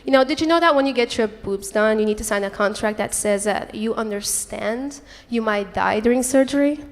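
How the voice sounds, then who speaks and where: A young woman from Portugal, a native Portuguese speaker, doing a crude, brash stand-up set on a club stage into a handheld mic. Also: on the high side. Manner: brash, crude punchlines with quick crowd asides. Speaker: stand-up comedian. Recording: live club recording with long reverb. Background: audience laughter and chatter.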